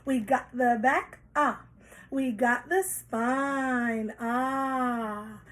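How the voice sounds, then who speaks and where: Woman, high-pitched voice, children's read-aloud, expressive, deliberate phrasing. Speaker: children's story reader.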